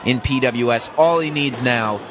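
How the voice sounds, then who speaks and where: A man calling a wrestling match as a color commentator, excitable and often shouting for emphasis. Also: medium pitch, normal speed, over music, a crowd in the background. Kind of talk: live play-by-play commentary.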